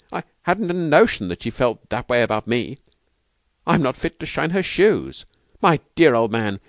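A man voicing an eager, good-natured young gentleman in a storybook, breezy and effusive. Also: sits low, dry recording, studio quality.

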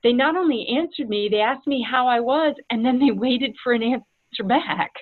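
A woman who heads a school, answering interview questions in an earnest, animated way and acting out her own past thoughts. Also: on the high side, moderate pace. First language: English. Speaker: head of school. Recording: tinny phone-like line.

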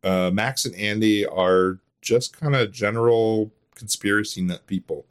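A man with a slightly low-pitched voice talks as an interview guest. His delivery is casual and conversational, like someone explaining a creative hobby off the cuff. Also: measured pace, smooth. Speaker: podcast guest, audio drama creator and voice actor. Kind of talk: casual unscripted interview chat.

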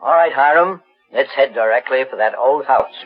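An actor playing a courteous, composed master investigator in a 1940s radio drama, speaking deliberately and politely. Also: male voice, medium pitch, loud music behind the voice, close to an everyday voice. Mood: curious. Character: kindly, measured, courteous male master investigator. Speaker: actor, lead in a detective radio serial. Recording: muffled 1940s radio recording.

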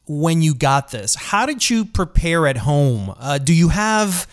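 A man's voice: a little high, sing-song, measured pace. A man hosts a casual interview, curiously stacking one question after another in a conversational way. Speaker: podcast host, interviewer.

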